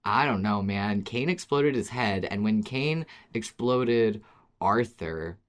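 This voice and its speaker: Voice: medium pitch, lively intonation, takes it slowly. Wry, informal podcast co-host. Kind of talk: casual fan discussion chat.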